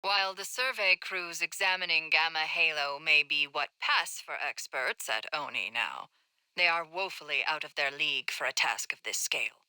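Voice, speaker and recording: Snooty female ai for a game, low voice, super sharp and clean, formal n measured, cold. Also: clean tone, no echo, rough recording. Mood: cool disdain. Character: cool, condescending female AI delivering an assessment. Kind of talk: scripted video game monologue.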